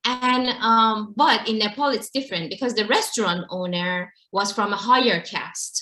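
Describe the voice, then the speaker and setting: A woman's voice: medium pitch, a little fast, pushed and bright, roomy sound. A woman, Farsi speaker, talking earnestly as an interview guest and often restarting her sentences. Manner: earnest, many restarts and repeats. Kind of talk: casual interview talk.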